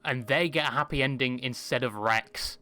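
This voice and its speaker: A man's voice: medium pitch, normal speed, sharp tone. A man delivering scripted narration as a video essayist. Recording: music behind the voice.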